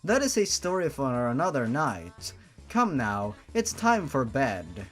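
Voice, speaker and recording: A performer voicing a gentle aunt who tells a bedtime story, with slow, deliberate delivery, as in a dramatic reading of fan fiction. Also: medium pitch, big pitch swings, soft background music. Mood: soothing.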